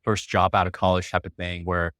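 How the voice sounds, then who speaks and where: Low-voiced American man, dry podcast sound. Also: level tone, a little fast, a bit bright, dry recording. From California. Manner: rambling, many fillers and laughs. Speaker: podcast guest. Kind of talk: casual interview storytelling.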